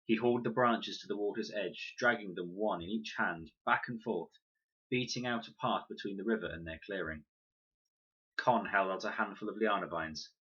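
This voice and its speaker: A man reading a children's novel aloud in an expressive narration style. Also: fairly low pitch, moderate pace, breathy edge, dull audio. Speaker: audiobook narrator, reader.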